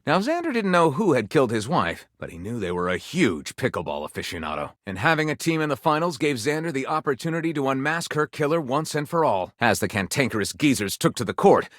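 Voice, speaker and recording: A melodramatic male storyteller for a video game, spins pulpy adventure tales as grand theatre. His pitch swings widely and he stretches out suspenseful pauses with overwrought relish. The recording is close and dry. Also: sing-song, a bit bright, dry recording. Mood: dramatic, suspenseful. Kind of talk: scripted dramatic narration for a video game.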